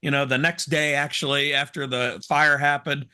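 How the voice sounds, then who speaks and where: A man co-hosting a casual talk show, hoarse from smoke, telling rambling self-deprecating stories. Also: a little high, fairly even intonation, normal speed, sharp tone. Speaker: co-host. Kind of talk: casual chat, storytelling.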